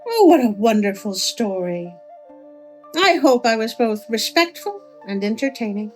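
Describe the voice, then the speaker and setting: A woman narrating a folk tale as a storyteller, with expressive delivery. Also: fairly high pitch, unhurried, poor audio. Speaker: storyteller, host of a story channel. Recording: music under the voice.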